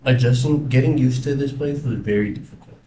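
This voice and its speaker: A man's voice: mid-range voice, fairly quick, warm tone. A young man with an American accent talking casually and reflectively in a rambling personal video diary. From United States. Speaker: vlogger. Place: indoors in a house. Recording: roomy echo, faint background noise.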